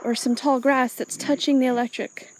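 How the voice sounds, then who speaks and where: A woman's voice: high voice, level tone, normal speed. A woman casually narrating her farm chores and thinking aloud as she goes, in an unscripted vlog style. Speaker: small farm owner and vlogger. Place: outdoors on a farm. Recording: outdoor noise, insects and animal sounds.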